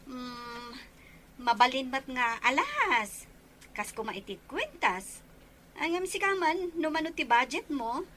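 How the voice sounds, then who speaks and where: A woman playing a young, helpful, reassuring older-sister friend in an Ilocano audio drama, brisk in manner. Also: high voice, animated, sharp tone, exaggerated voice.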